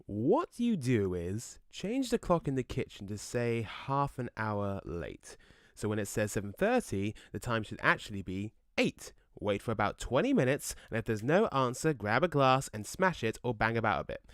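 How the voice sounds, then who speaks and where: Man playing a cheeky, animated male ghost. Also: medium pitch, normal speed, dry recording, clean studio sound, close to an everyday voice. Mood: matter-of-fact. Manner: irreverent, casual, blunt. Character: laid-back, cheeky male ghost friend. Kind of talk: audio drama dialogue.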